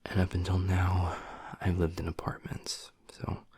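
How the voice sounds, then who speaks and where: A man voicing a gruff but tender young werewolf boyfriend in an intimate audio roleplay, husky and breathy, speaking low and haltingly. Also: monotone, a little mellow, dry recording, exaggerated voice. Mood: wistful, tender. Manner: low, halting, half-whispered delivery.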